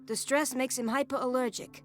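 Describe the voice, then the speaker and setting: An actress as a high-voiced dutiful nurse. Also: female voice, fairly even intonation, a little fast, faint music behind, close to an everyday voice. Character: dutiful, discreet, concerned hospital nurse, adult woman. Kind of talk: video game cutscene acting.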